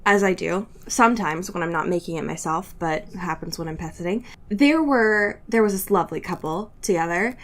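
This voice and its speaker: A woman's voice: lowish voice, brisk, hardly any pauses. A woman co-hosting a podcast in casual comedic banter, giggly and given to theatrical exaggeration. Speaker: podcast co-host.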